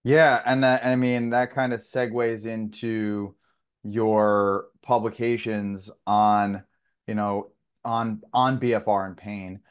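A man's voice: on the low side, level tone, slow pace, clear, resonant tone, lo-fi sound. A young man hosting a podcast interview, asking rambling questions and restarting them as he goes, over a video call. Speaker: podcast host.

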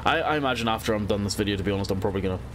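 A man's voice: on the low side, racing, background noise. Congested young man chatting over gameplay. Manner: chatty and rambling, thinking aloud while playing. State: congested from a cold. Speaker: gaming channel host. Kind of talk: casual let's-play commentary.

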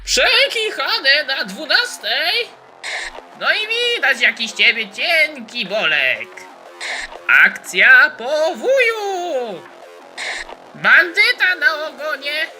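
A tiny game-character scout, very high and squeaky. He is eager and perky, barking out bright exclamations over light music. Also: very animated, extremely slow, long pauses, exaggerated voice.

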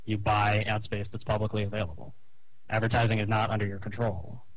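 A man talking on a phone call, airing grievances in a halting, explanatory way. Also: lowish voice, little pitch movement. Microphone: telephone call.

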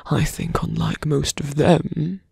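A man playing a seductive, embittered slum-born villain in a fantasy ASMR roleplay, speaking slowly and intimately in a breathy, gravelly half-whisper. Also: on the high side, fairly even intonation, a little mellow, no room sound, clean studio sound, full character voice. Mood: seductive, knowing. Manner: slow, intimate, half-whispered, deliberate pauses.

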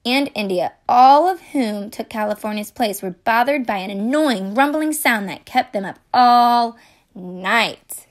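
A woman's voice: a little high, lively intonation, measured pace. A woman reading a children's picture book aloud expressively, adding explanatory asides. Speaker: storybook reader.

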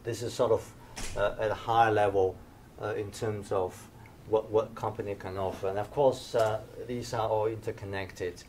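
Low-voiced man giving a hesitant lecture. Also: fairly even intonation, moderate pace, darkish tone, slight background noise. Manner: hesitant, many fillers and restarts. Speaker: invited speaker, professor. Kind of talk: conference lecture. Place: dim lecture room, standing by projector screen.

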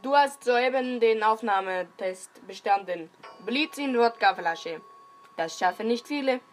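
Secret agent, played by a woman: enigmatic, solemn, slightly high female voice, children's radio play. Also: animated, unhurried, faint music behind. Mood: solemn, cryptic. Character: mysterious female secret agent recruiting her successor.

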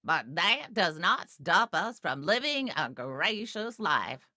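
A man voicing a bossy, prim woman who is the elder sister, speaking in a haughty, drawn-out way in a storybook reading. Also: high-pitched, sing-song, bright, forceful tone, dry recording, clean studio sound, voice pushed up, full character voice. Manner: haughty, drawn-out commanding delivery. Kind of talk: storybook read-aloud.

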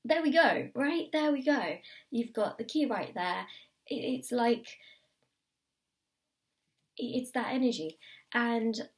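A woman giving a tarot reading to the viewer, her voice slightly croaky, encouraging and repeating key phrases. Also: on the high side, animated, takes it slowly, long pauses, distant mic. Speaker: tarot reader. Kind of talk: pick-a-card tarot reading to camera. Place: indoors at a table.

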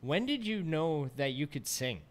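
A man's voice: on the high side, very animated, measured pace. A man hosting a podcast interview, asking easygoing questions and cracking jokes. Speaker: podcast host. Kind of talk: casual interview chat.

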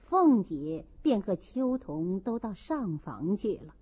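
A woman reads a classic novel with a lively, swooping range at an unhurried pace. Her fairly high voice has a husky edge, and she narrates dramatically. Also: dull audio. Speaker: audiobook narrator.

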